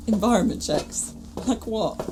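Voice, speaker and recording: A woman's voice: medium pitch, lively intonation, unhurried, a little mellow, faint music behind, natural voice. A young woman playing a curious, sceptical time-travelling companion in a fan-made audio drama, questioning and wry. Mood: anxious, questioning.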